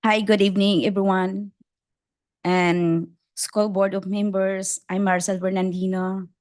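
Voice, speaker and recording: Slow-speaking woman, careful learner's English. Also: mid-range voice, level tone, a little mellow. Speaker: public commenter, adult school student. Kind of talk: prepared public comment. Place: board meeting room.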